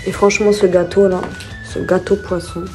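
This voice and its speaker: A chatty woman talks through her shopping haul at home in a relaxed, mellow voice. She rambles and corrects herself as she goes. Soft music plays quietly behind her. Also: on the low side, normal speed. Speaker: vlogger. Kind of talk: casual vlog chat, showing her shopping haul.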